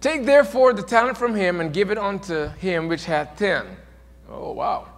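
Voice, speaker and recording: A man preaching a sermon, moving from quiet, low passages to loud, emphatic peaks. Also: expressive, normal speed, big-room sound. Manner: swings from soft to shouted, rising to emphatic peaks.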